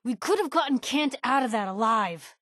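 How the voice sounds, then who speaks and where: Game companion: young female reporter, higher-voiced, principled and sassy, old-fashioned slangy banter, very dry close recording. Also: animated, normal speed, a bit bright, dry recording. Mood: earnest, uneasy. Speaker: video game voice actress. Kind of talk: video game companion voice lines.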